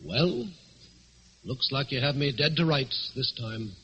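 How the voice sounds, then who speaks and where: An actor playing a suave, composed gentleman thief, persuasive and commanding, in old-time radio drama style. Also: medium pitch, animated, a little slow. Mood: smooth, resigned. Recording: dull, noisy vintage radio recording.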